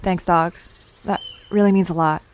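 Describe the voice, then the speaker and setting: A woman's voice: lowish voice, steady tone, moderate pace, slight background noise, natural voice. A woman playing an earnest, anxious, inquisitive young woman in an audio drama, with a hesitant manner. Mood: warm, grateful.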